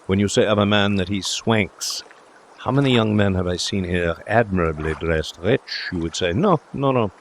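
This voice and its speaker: A man's voice: fairly low pitch, moderate pace, a little hiss or hum, close to an everyday voice. A man voicing a talkative, world-weary pawnshop official, in a theatrical storybook reading. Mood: mournful, confiding. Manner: theatrical, lamenting, rhetorical.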